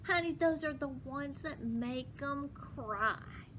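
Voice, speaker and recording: Woman putting on a high, gushing folksy aunt. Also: slow pace. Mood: gushing, confident. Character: folksy, gushing aunt giving confident advice. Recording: clearly noisy with low rumble.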